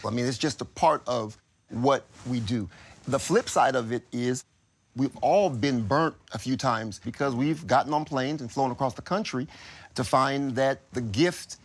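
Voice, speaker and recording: A pastor on a television panel, laying out both sides of a dispute in a measured, reasoning way. His voice is husky and slightly rough at an ordinary male pitch. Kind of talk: reunion-show panel talk.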